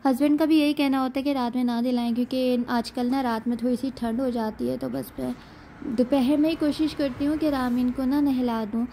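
A woman's voice: high-pitched, fairly even intonation, a little fast, mellow, slight background noise, low-quality recording. A young woman, narrating her day in a chatty voice-over and leaning on a lot of "aur jo hai" fillers. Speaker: lifestyle vlogger. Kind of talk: casual voice-over narration of daily life.

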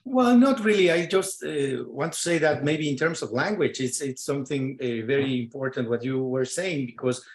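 A man who is not a native English speaker talks earnestly on a stage, working to find his words. He uses a handheld mic and his voice sits at a middle pitch. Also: animated, moderate pace, hardly any pauses. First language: not English or French. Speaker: panelist, artist. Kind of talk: round-table discussion. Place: large dark stage with audience.

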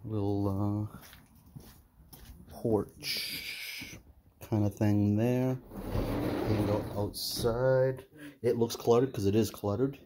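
A middle-aged man giving a casual, halting walkthrough of empty condo rooms, filmed on a handheld phone as he walks. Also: fairly low pitch, slight background noise. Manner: relaxed, halting, many "uh" fillers. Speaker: property investor giving a walkthrough. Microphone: phone camera held while walking.

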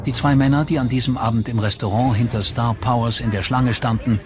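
A man's voice: medium pitch, steady tone, a little fast. A man reading scripted true crime documentary narration in German-dub style as the narrator. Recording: music bed under voice. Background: prominent music.

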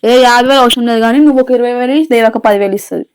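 A woman's voice: a little high, rapid-fire, a little mellow, clear, resonant tone. A young woman in casual chat on a prank call, bargaining insistently. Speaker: family vlogger.

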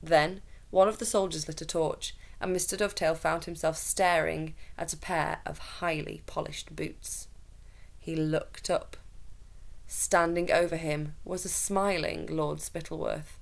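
A woman's voice: low voice, steady tone, unhurried, vocal fry. A young adult woman narrating a children's storybook expressively, recorded indoors in a small room. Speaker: teacher reading a story aloud.

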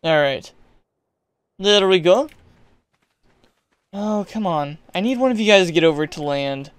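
A young man giving casual, chatty gameplay commentary, excitable and yelling at creatures, a bit hoarse as he is losing his voice. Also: high voice, measured pace, dry recording. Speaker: gaming YouTuber.

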